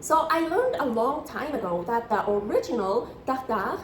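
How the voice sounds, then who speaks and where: A woman's voice: fairly high pitch, big pitch swings, normal speed, slight background noise. A woman in her thirties from Switzerland, talking about food in a chatty, enthusiastic vlog style. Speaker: vlogger. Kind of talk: casual vlog narration. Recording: roomy echo.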